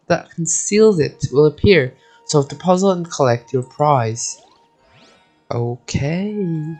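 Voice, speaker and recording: Slow-paced gamer thinking aloud. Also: medium pitch, darkish tone. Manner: sweary, mutters to self. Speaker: video game streamer. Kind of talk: casual gameplay commentary, thinking aloud.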